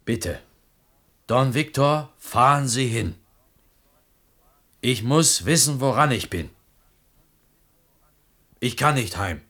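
A medium-pitched male voice, earnest and insistent. a humble potter in a radio play, anxious and stubbornly pleading with the person he depends on. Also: animated, takes it slowly, long pauses, a bit bright. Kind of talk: German radio play acting.

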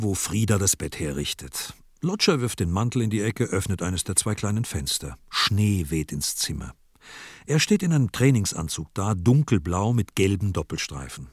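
A man narrating a radio drama as a vivid storyteller in scripted, measured prose. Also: on the low side, husky, dry recording, studio quality. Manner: vivid, present-tense storytelling.

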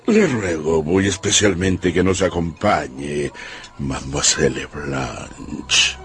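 An actor playing a pompous, hearty Russian general in a Spanish-language radio drama. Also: male voice, a bass voice, takes it slowly, fairly bright tone, faint music behind, heavily acted. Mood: hearty, jovial. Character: pompous, convivial Russian general, father of young children.

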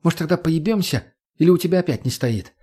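A man voicing a brash, foul-mouthed, mocking young woman in an audiobook, with crude, lively delivery. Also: a little high, fast, husky, pitched above the performer's own voice. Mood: teasing. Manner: crude, slangy, loudly expressive.

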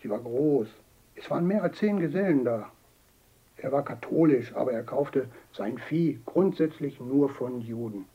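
An actor playing an elderly butcher giving blunt, matter-of-fact eyewitness testimony in a documentary radio drama, with a husky voice. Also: male voice, medium pitch, lively intonation, unhurried, highs cut off.

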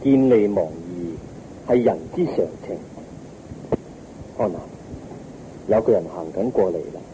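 An actor playing a serene, wise Buddha in a radio drama, teaching in a measured, solemn way with a Hong Kong Cantonese accent. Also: male voice, low-pitched, animated. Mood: resigned. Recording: muffled radio recording, faint noise.

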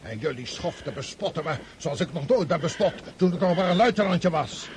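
An actor in a radio drama playing an old, sickly highborn army commander who scolds imperiously. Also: male voice, animated, a little fast, a little mellow, slight background noise, close to an everyday voice. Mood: bitter, weary.

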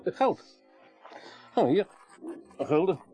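A man's voice: high voice, very animated, slow, dark tone, faint music behind, full character voice, low-quality recording. A man playing a bantering, sceptical investigator in a radio drama, informal and teasing.

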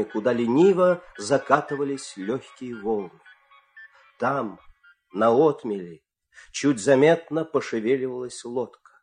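A man narrating a fairy tale as a dramatic storyteller, expressive and suspenseful, with deliberate pauses. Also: mid-range voice.

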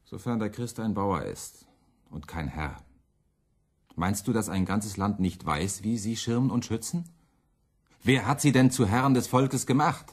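Deep, husky firebrand preacher. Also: male voice, a little slow. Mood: bitter, sarcastic. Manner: accusatory, biting rhetoric. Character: fiery radical preacher, man, championing hungry peasants, defiant, scornful. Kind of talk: German radio play acting.

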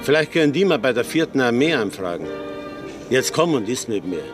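A man's voice: medium pitch, very animated, brisk, natural voice. A cautious, placating friend, played by an actor with an ordinary mid-range voice. He soothes and warns in a classic radio play. Music plays under the scene. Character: Helmberger, well-connected man, friendly, cautious.

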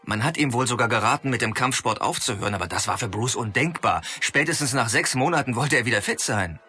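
An actor playing a keen commentator, explaining things with enthusiasm in a documentary-style radio play. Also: male voice, medium pitch, expressive, fast talker, pushed and bright. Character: keen male commentator on a martial arts star. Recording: clear background noise with clicks.

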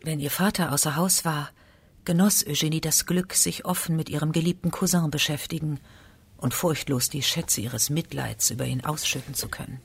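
A man's voice: a little high, normal speed, creaky, breathy edge. A man narrating a literary radio drama from a script.

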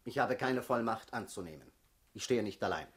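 An actor playing a proud, principled resistance politician in a German radio drama, formal and rhetorical. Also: male voice, fairly low pitch, animated, fairly quick, natural voice. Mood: subdued, defeated.

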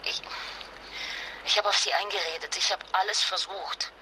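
An actress playing an anxious but determined wife and mother in a naturalistic radio drama, speaking haltingly and with emotion. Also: medium pitch, steady tone, noisy background, close to an everyday voice. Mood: distressed, apologetic.

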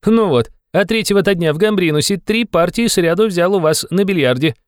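A man voicing an excited, quick-witted very young man with a ringing, eager way of explaining in an audiobook of a classic novel. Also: high-pitched, no echo, voice pushed up. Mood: cheerful, puzzled.

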